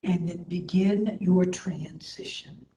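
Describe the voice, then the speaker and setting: Woman doing guided meditation, really slow n soft, soothing, roomy sound w a bit of noise. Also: lowish voice, steady tone. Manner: soothing, gentle, hushed phrase endings. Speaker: meditation and yoga instructor. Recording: roomy echo, faint background noise.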